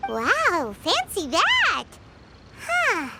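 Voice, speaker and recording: Game mascot: cheeky childlike fairy girl, piercingly high and squeaky, very lively swoops, naive curiosity. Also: extremely slow, loud music behind the voice, exaggerated voice. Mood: surprised, puzzled. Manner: naive, exclamatory, refers to self by name. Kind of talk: video game voice acting.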